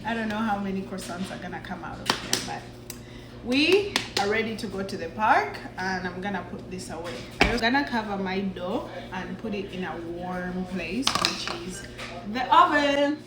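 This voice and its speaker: A woman's voice: medium pitch, animated, moderate pace. A young woman who grew up in Uganda, chatting casually as she bakes in her home kitchen and thinks aloud while she works. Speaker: baking vlogger. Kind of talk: casual kitchen vlog talk. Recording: roomy kitchen with background noise.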